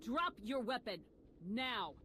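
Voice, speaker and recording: A woman's voice: fairly high pitch, very animated, slow, bright, forceful tone, slight background noise, heavily acted. An actress playing a resolute, commanding female hero in a video game, firm and decisive. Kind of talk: video game dialogue acting.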